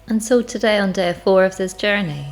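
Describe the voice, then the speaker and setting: A woman guiding a spiritual meditation in an unhurried, reflective, measured way, with long pauses between phrases. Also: mid-range voice, low-quality recording. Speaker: guided meditation leader. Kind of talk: slow spiritual guided meditation narration. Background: music.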